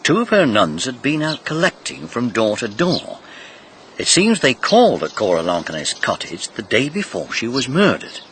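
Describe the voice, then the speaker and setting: Bright, very animated police inspector. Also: male voice, medium pitch, moderate pace, a little hiss or hum. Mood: reporting, intrigued. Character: sceptical, plain-speaking male police inspector. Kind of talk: radio drama acting.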